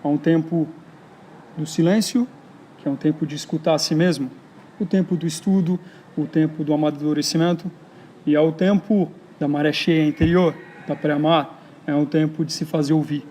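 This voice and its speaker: Reflective São Paulo poet, mellow voice. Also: male voice, a little high, fairly even intonation, a little hiss or hum. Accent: São Paulo Brazilian Portuguese. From São Paulo, Brazil. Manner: reflective, halting, frequent hesitation fillers. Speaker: poet and writer, interview guest. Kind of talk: casual interview conversation. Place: outdoors in a park.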